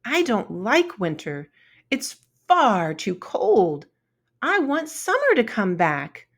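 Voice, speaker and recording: A sweet little girl ladybug from a children's storybook, played by a woman. She sounds small and earnest, with a raised pitch that bounces expressively. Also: wildly swooping pitch, takes it slowly, heavily acted. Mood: plaintive. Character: small young female ladybug, anxious, sweet, naive. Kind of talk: children's storybook read-aloud.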